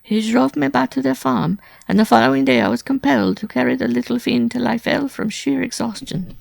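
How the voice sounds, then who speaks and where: Man voicing a desperate woman, high and creaky. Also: fairly quick, dry recording, exaggerated voice. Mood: pleading. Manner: pleading, breathless, overwrought. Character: desperate frightened wife, worn-out former circus performer. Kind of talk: audiobook character acting.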